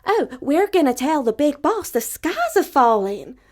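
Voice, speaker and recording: A woman voicing an eager, earnest little chicken in a fairy tale, exclaiming in an excited singsong. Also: high voice, measured pace, voice pushed up. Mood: excited, urgent.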